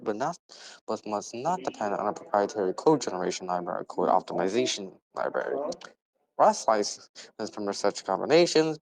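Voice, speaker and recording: A man's voice: on the low side, low-quality recording. A man reading a technical policy document aloud, stumbling over words and repeating phrases as he goes. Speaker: reader.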